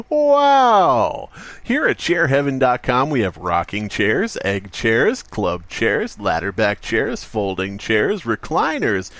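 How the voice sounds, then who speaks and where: A man's voice: on the high side, fairly bright tone, no room sound, exaggerated voice. A man playing an over-eager, rambling salesman obsessed with his product in a spoof radio commercial.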